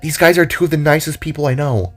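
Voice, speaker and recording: A man voicing a playful, rebellious young female guitarist with a casual, halting way of talking in an audio drama. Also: on the high side, faint music behind, pitched above the performer's own voice, lightly acted. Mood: soothing.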